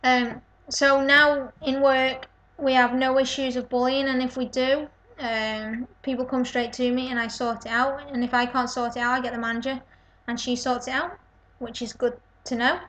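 A woman's voice: sits high, flat delivery, moderate pace, a bit bright, low-quality recording. A woman in her twenties with a Lancashire-type northern English accent, tired and rambling in a casual webcam video response from her kitchen. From North West England. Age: 20s. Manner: rambling, self-deprecating. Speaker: vlogger. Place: kitchen at home, indoors. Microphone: webcam built-in mic.

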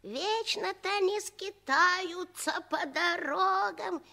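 A woman's voice: very high voice, slow, vocal fry, pushed and bright, exaggerated voice. An actress playing a kindly, grumbling old woman in a radio play, sighing and muttering as she draws out her words. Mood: grumbling, pitying. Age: older. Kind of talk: 1960s Soviet radio play acting.

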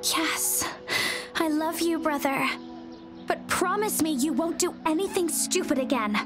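A little sister in a video game, affectionate and stubborn. Her voice is tiny and extremely high, drawn out slowly and pleadingly. Music plays clearly behind her. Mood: tender, pleading. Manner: pleading, plaintive, insistent. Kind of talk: video game character dialogue.

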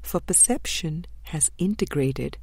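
Low, slow, mellow woman reading. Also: lively intonation, gravelly, breathy edge, no echo. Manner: measured, deliberate, short phrases with pauses. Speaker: audiobook reader. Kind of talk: scripted spiritual book reading.

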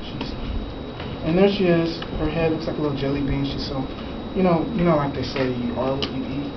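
A man reading a picture book aloud to children as its author, conversationally, pausing to explain the pictures and ask questions. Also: a little high, fairly even intonation, highs cut off. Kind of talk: storybook read-aloud with casual asides. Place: school classroom, indoors. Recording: echoey, noisy classroom, far from mic. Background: clear room noise with children present.

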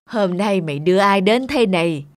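Actress as a kindly cartoon granny, medium pitch. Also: female voice, expressive, moderate pace, soft background music, close to an everyday voice. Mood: gentle, explaining. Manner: gentle, caring, musing aloud. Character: kindly, compassionate elderly grandmother. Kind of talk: animated moral tale dubbing.

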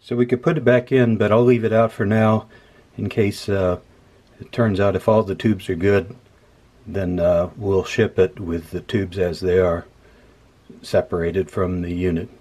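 A man casually talking through a hands-on repair as host, unhurried and dryly humorous. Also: sits low, little pitch movement, a little mellow. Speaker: host of an electronics repair video. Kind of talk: casual hands-on demonstration commentary. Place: indoor workbench. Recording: clear background noise.

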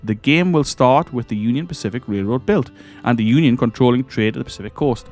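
A man with a cold hosting a strategy game let's-play, his voice croaky as he rambles and corrects himself while clicking through menus. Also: mid-range voice, brisk, darkish tone, soft background music. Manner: rambling, hesitant, self-correcting. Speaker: gaming channel host. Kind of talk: casual let's-play commentary.

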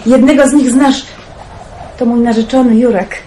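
A woman's voice: a little high, steady tone, normal speed, heavy background noise, close to an everyday voice. A woman playing an urgent, persuasive young woman in a radio drama, talking with breathless laughter.

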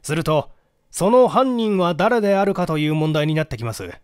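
A man's voice: a little high, expressive, normal speed, clean tone, no room sound, studio quality, lightly acted. A man voicing a methodical police detective who explains deductions formally in a dramatic audiobook reading. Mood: explanatory, measured.

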